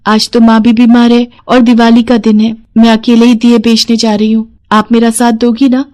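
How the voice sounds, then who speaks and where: An innocent little girl from a storybook, acted by a woman. The voice is high and childlike, with an earnest, pleading way of talking. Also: level tone, moderate pace, dark tone, lightly acted. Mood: sad, pleading.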